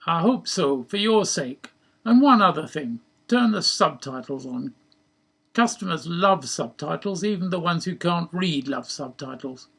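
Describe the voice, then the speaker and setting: A man's voice: on the high side, lively intonation, unhurried, gravelly, a bit bright, husky, full character voice. A man putting on a bossy head-office boss in a story, with a posh British accent, pompous and hectoring. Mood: pompous, irate.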